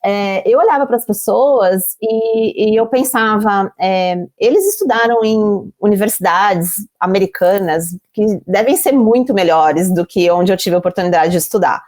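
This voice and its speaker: A Brazilian woman on a career podcast tells her own story in a candid, unguarded way. She pauses and restarts often as she works through what she means, and her voice sits at an average pitch. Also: expressive, darkish tone. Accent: Brazilian Portuguese. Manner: candid, many fillers and restarts, self-questioning. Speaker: podcast guest.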